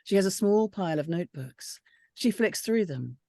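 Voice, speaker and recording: Low-voiced woman reading screenplay directions, dry sound. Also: animated, normal speed, vocal fry, warm tone, no echo. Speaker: script panel reader reading stage directions. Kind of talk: scripted narration.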